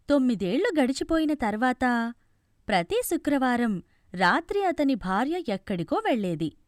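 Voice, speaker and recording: Lady reading a fairy tale, high voice, really animated, dry sound. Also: no echo. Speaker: storyteller. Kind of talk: scripted fairy tale narration.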